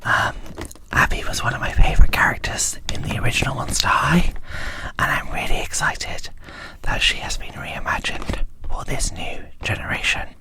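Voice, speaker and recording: A woman whispering softly and a little hesitantly in an ASMR unboxing style. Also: normal speed. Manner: soft, hushed, hesitant. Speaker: ASMR video creator.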